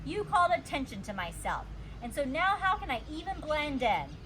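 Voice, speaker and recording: Lady doing a dramatic little schoolgirl from a storybook, high n swoopy, emphatic, some noise behind. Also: big pitch swings, normal speed, a bit bright. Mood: indignant. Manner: emphatic, childish phrasing.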